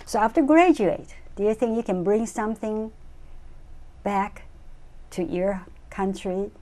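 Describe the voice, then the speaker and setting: A woman interviewing a guest in halting, non-native phrasing with short prompts. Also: medium pitch, dark tone. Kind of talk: casual interview.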